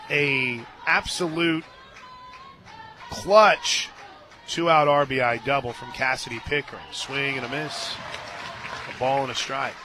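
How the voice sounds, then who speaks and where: An American man working as a radio play-by-play announcer, calling a live game with conversational, folksy asides and emphatic delivery. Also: medium pitch. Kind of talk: live sports commentary with chatty asides. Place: stadium radio booth.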